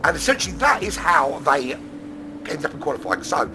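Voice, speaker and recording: Hoarse, squeaky race commentator. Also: male voice, moderate pace, over music. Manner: chatty, many fillers, bursts of excitement. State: hoarse, recovering from lost voice. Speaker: racing league commentator. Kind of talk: live sim-racing stream commentary.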